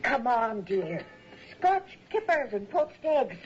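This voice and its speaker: An actress playing a kindly, brisk landlady in a radio drama, with a motherly way of talking. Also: a little high, very animated, over music. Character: kindly, brisk landlady of a seaside rooming house. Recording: muffled, noisy old radio drama recording.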